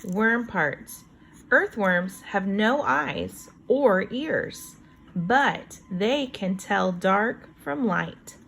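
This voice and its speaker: A woman teacher reading a children's book aloud, reading deliberately and adding chatty, wondering asides about it. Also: mid-range voice, expressive, vocal fry, fairly bright tone, a little hiss or hum, poor audio.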